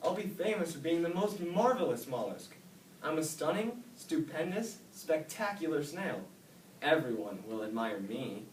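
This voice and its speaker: An excitable little male snail, as a man reading aloud puts him on, pitched a little above the reader's own voice and swinging lively through each line. His voice sounds far from the mic in a roomy space. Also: normal speed. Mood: boastful. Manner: excitable, boastful, then tearful. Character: small eager young male snail. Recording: echoey room, mic far off, faint hiss.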